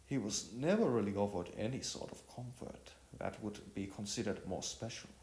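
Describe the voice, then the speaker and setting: A man narrating an audio story in a halting way, often repeating phrases. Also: on the low side, a little mellow. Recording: echoey room with music underneath. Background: music.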